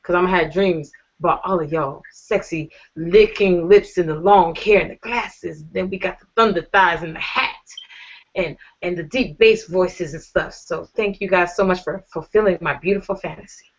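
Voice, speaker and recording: A woman's voice: fairly low pitch, normal speed. Woman host gushing over her guests, playful, kinda muffled lo-fi sound. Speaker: talk show host. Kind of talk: casual online panel show. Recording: dull lo-fi audio.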